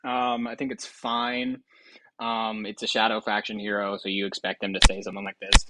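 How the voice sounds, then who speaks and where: A man's voice: lowish voice, little pitch movement, moderate pace, very noisy. A man hosting casual gaming commentary, rambling and thinking aloud with some hesitation. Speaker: video host.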